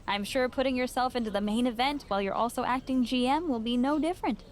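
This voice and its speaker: A woman's voice: a little high, fairly quick, close to an everyday voice. Exasperated, sarcastic female promoter, acted by a woman. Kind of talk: scripted game cutscene dialogue.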